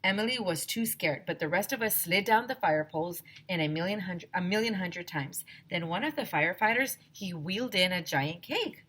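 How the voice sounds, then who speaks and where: A woman teacher reading a children's story aloud expressively, with an animated, emphatic manner, addressing young listeners directly. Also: fairly low pitch, moderate pace, few pauses, slightly rough.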